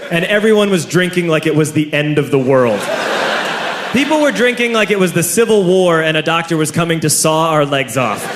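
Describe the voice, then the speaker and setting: A man telling a long story as a stand-up comedian, talking casually and building to shouted punchlines in front of a live audience. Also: high-pitched, noisy background. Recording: echoey club with audience laughter.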